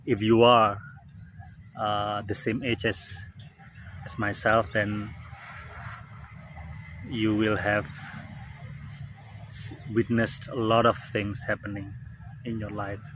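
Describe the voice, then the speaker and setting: A man in his forties whose first language is Indonesian, speaking slowly and reflectively with many pauses and fillers, outdoors under a tree. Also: lowish voice, flat delivery. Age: 40s. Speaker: vlogger. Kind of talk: reflective talk to camera. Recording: clear outdoor background noise. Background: birds, traffic and outdoor ambience.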